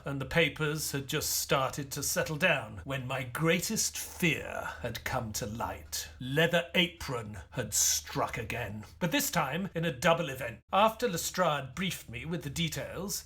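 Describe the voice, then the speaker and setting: An expressive, higher-voiced Victorian doctor. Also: male voice, slightly rough, full character voice. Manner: formal, measured storytelling. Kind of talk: audio drama acting and scripted narration.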